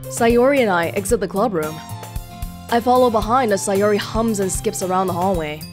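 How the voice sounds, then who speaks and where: A woman's voice: medium pitch, moderate pace. A young adult woman reading a game aloud and adding casual commentary as a let's play host. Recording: game music behind the voice.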